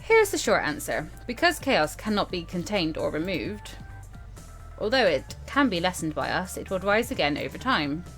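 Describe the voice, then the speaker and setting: A woman's voice: fairly low pitch, big pitch swings, normal speed, a bit bright. Woman reading as a dry, sardonic male mentor. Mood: grave. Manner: dry, lecturing. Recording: music behind the voice.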